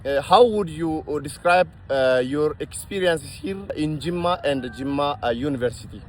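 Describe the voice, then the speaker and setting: A man's voice: on the high side, a little hiss or hum. Formal male interview host outdoors. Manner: formal scripted welcome, halting questions with fillers. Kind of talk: formal introduction, then interview questions.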